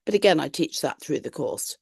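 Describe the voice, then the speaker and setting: A woman tutor gives an online introduction to a course, her voice croaky as she recovers from flu, explaining things in a friendly, reassuring way. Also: deep voice, a little fast, dry recording, studio quality. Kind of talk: online lesson talk.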